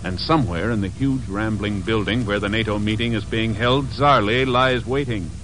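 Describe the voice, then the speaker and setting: A man's voice: fairly low pitch, moderate pace. Solemn 1950s male radio announcer. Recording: noisy old 1950s radio recording.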